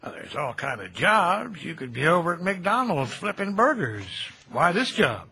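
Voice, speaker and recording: An actor playing a kindly, folksy older cemetery caretaker in an audio drama, chatty and gentle in a homespun, nostalgic way. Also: male voice, high voice, sing-song, moderate pace, gravelly, slightly rough, full character voice. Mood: friendly. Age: middle-aged. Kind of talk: naturalistic radio drama dialogue.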